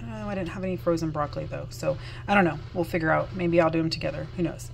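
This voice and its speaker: A woman's voice: deep voice, fairly even intonation, quick pace, vocal fry, a little hiss or hum. Chatty Southern woman in her kitchen. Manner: chatty, upbeat, lots of ums and self-corrections. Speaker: vlogger. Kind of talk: casual chat. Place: home kitchen, indoors. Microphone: phone held close at arm's length. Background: dishwasher running.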